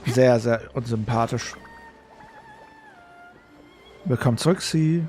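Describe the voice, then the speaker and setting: A man with a German accent commentates casually as a gaming streamer. His voice sits at an average pitch and he grumbles dryly, with faint game music playing behind him. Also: normal speed, a little mellow. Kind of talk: casual let's play commentary.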